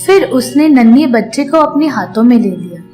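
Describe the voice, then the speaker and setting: Female narrator for children's fairy tales: fairly high voice, measured scripted storytelling over light music. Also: lively intonation, darkish tone.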